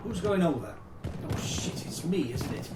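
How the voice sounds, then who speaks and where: A man playing a weary, resigned British soldier in a war radio play, gruff yet sympathetic in manner. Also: high-pitched, sing-song, measured pace, loud music behind the voice. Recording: roomy, distant, noisy background.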